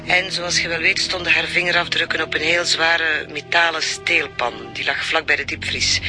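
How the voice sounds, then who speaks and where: A woman's voice: fairly low pitch, pushed and bright. A woman with a Flemish accent playing a forensic examiner phoning in results in a radio drama, businesslike.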